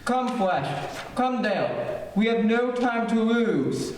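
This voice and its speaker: Man playing a high-voiced, gloomy radio prince. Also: very animated, takes it slowly, exaggerated voice. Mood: urgent. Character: gallant, gloomy alien prince, loyal ally. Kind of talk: old-time radio serial acting. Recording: echoey stage, distant mic, faint noise.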